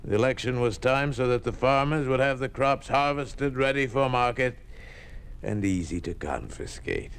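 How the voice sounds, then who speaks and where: An actor playing a smug, menacing communist official in a 1950s radio drama, condescending and sardonic. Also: male voice, medium pitch, expressive, moderate pace, a bit bright, smooth. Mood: smug, mocking. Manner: condescending, sardonic, coolly threatening.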